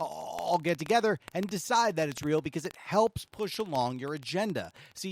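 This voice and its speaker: A middle-aged man hosting a show, giving halting, sarcastic, exasperated commentary on played clips. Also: high-pitched, a little hiss or hum. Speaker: podcast host, commentator.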